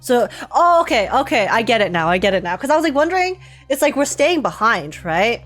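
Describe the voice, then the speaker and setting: A woman giving excitable, slangy, casual commentary as she streams and reacts to a video game's story. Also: on the high side, a little fast, faint music behind. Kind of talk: casual gaming livestream commentary.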